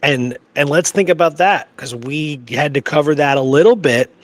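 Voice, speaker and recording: A man co-hosting in a casual chat, informal and halting as he thinks out loud. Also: a little high. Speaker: co-host. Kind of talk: casual chat, reacting and questioning.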